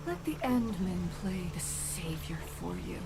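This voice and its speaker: A woman's voice: fairly low pitch, sing-song, a little slow, vocal fry, noisy background, exaggerated voice. A woman playing a scheming, defiant female villain in a video game, speaking in a theatrical, mocking, proud way. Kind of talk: dramatic video game voice acting.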